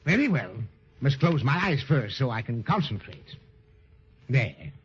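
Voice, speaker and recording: An actor playing a pompous, jovial crocodile who loves to sing, with theatrical, drawn-out 1930s children's radio delivery. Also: male voice, medium pitch, very animated, breathy edge, heavily acted. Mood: pompous, deliberate. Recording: muffled vintage 1930s radio recording.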